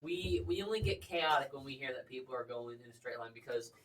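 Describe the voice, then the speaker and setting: A teenage boy co-hosting a comedy podcast with rambling, excitable, mock-indignant banter, often repeating himself. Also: medium pitch, quick pace. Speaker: co-host of a chaotic comedy podcast. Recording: distant mic with clear background noise.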